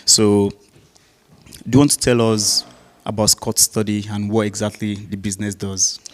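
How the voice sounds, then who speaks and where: A man's voice: lowish voice, level tone, moderate pace. A man hosting an informal live interview with a guest at a business event.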